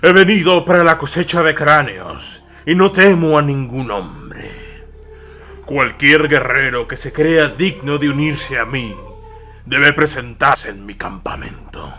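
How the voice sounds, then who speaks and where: A man playing a fierce, triumphant warlord in an audio war drama, booming out defiant proclamations. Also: animated, unhurried, bright, forceful tone, a little hiss or hum. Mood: defiant shouting. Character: fierce, triumphant warlord warrior bellowing challenges.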